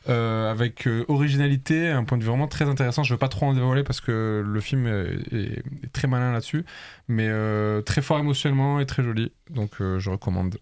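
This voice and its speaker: A man on a casual film podcast, giving measured, hesitant opinions with many fillers. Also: mid-range voice, a little mellow, smooth.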